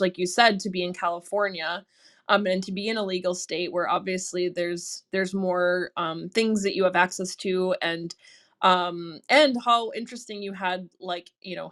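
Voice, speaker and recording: A woman's voice: lowish voice, a bit bright. An American woman hosting a round table, interviewing casually with hesitant, tentative phrasing. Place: remote audio chatroom call.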